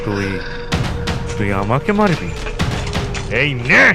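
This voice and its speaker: An actor playing a panicked, aggressive middle-aged boss in an audio thriller, with strained, melodramatic accusing delivery. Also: male voice, medium pitch, big pitch swings, takes it slowly, creaky, over music, exaggerated voice. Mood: alarmed, accusing. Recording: long hall reverb.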